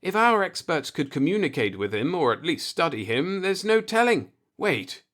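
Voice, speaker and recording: A man's voice: on the high side, very animated, normal speed, a bit bright, voice pushed up. A man voicing an eager, self-confident, cultured man from another galaxy in a science fiction audiobook. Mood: confident, insistent.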